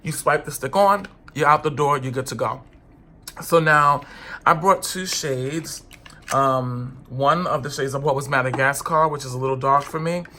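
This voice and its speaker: A man's voice: medium pitch, moderate pace, sharp tone, slight background noise. A man with a stuffy, congested voice, chatting casually and sassily to camera as a beauty vlogger. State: congested with a cold. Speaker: beauty vlogger reviewing foundation.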